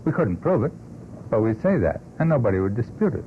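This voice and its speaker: A man with a fairly low voice talks as a guest on a radio panel. He explains things in a measured, unscripted way. The old broadcast sounds narrow and tinny, with light noise. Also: very animated. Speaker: talk show panelist.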